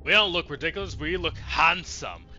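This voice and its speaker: Very bright, lively male streamer on lo-fi mic. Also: fairly high pitch, normal speed. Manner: irreverent, sweary, chatting to himself. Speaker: let's play streamer. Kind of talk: casual game commentary. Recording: dull lo-fi mic, faint music. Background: faint game music.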